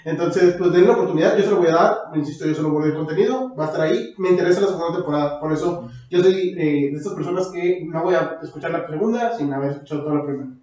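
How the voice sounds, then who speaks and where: A man's voice: a little high, racing, warm tone. A man with a Mexican accent talking casually as a podcast co-host. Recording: dull, very echoey, distant mic.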